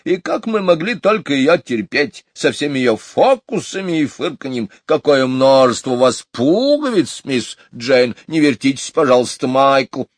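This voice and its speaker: A man's voice: mid-range voice, very animated, unhurried, few pauses, dry recording, studio quality, pitched above the performer's own voice. A man voicing a gossipy old housekeeper who sing-songs and puffs as she talks. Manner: sing-song, puffing, gossipy. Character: chattering, sing-song old housekeeper woman.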